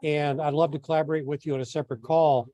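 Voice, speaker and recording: A man taking part in a group teleconference, talking in a measured, courteous, businesslike way. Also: fairly high pitch, steady tone, dark tone, no room sound. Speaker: meeting participant. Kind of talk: discussion on an online group call. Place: remote teleconference.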